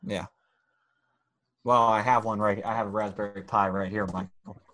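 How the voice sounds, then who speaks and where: A man in a casual chat among friends as a podcast co-host, talking haltingly and often repeating himself. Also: on the low side, fairly even intonation, bright, forceful tone, dry recording.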